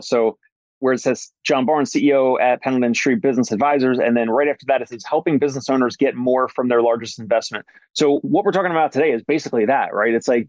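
A man's voice: medium pitch, dry recording. Guy podcast host, chatty and rambly, says like a lot, dull dry mic sound. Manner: rambling, loose phrasing, frequent "like" and restarts. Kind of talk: casual interview chat.

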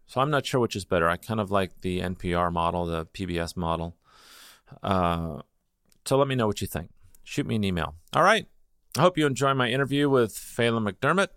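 A man hosting his own podcast, talking casually and haltingly with lots of fillers, a little downbeat. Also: on the low side, expressive, a bit bright, clear, resonant tone, no echo, studio quality. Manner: rambling, halting with many fillers. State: feeling a little low. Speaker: podcast host; opera chorus singer. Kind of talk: casual solo intro and interview.

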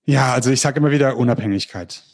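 German male podcast guest, average pitch, animated storyteller who often restarts his sentences. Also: fast, gravelly. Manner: lively storytelling with frequent restarts. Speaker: interview guest. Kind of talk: casual podcast interview.

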